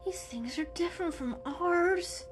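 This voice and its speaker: Woman voicing an excitable high-pitched little boy kitten. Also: unhurried, over music. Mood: curious, eager. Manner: squealing, bouncy, eager. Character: excitable young male kitten, eager and curious.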